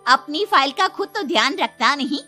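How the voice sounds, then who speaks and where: A woman's voice: sits high, expressive, moderate pace, bright, forceful tone, pitched above the performer's own voice. A woman voicing an affectionate but bossy, protective middle-aged mother-in-law in a moral story. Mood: indignant, scolding. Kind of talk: moral story character dialogue. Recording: music behind the voice.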